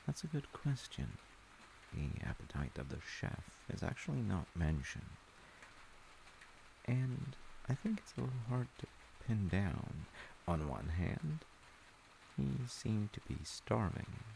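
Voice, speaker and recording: Male, low creaky voice, gentle thoughtful storyteller dad, measured and reflective, faint ambient noise behind. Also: big pitch swings, rough recording. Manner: reflective, measured, explaining with pauses. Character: gentle, thoughtful dad telling his child a folktale. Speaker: storyteller, audio roleplay voice actor playing a dad. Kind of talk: intimate improvised-sounding bedtime storytelling and chat.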